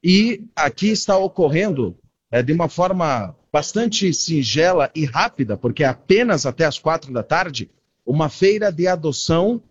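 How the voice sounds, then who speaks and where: Male radio reporter, higher-pitched, live from location with dull remote sound. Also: sing-song, normal speed, breathy edge, dry recording.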